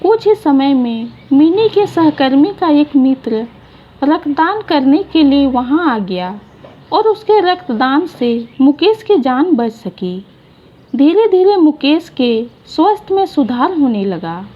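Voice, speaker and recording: A woman narrating a short moral story in an unhurried, gentle reading style. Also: high-pitched, sing-song, muffled recording.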